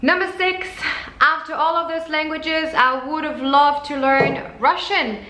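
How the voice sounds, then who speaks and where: A woman's voice: high-pitched, unhurried, bright, forceful tone. A woman in her twenties from France, a flight attendant chatting casually to camera in English, French being her first language, with lots of fillers and restarts. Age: 20s. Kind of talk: casual talking-to-camera vlog. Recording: echoey room with faint background noise.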